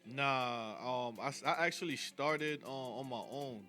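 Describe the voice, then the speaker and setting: A man in his thirties from Jamaica, a guest on a radio show, talking in an easygoing, relaxed way. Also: medium pitch, unhurried, faint music behind. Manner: easygoing, relaxed, says "you know what I'm saying" often. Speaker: guest rapper and videographer. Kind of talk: casual interview.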